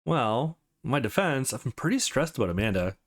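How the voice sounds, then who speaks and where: A man's voice: medium pitch, sing-song, a little fast. A man voicing an anxious, guarded young woman in a visual novel, emotive and easily exasperated. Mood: self-conscious.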